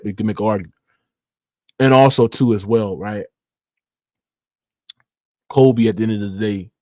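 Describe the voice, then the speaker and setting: A man's voice: lowish voice, darkish tone, dry recording. A man hosting a sports debate in slangy casual talk, insistent and argumentative, repeating his points and swearing freely. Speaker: sports debate show host.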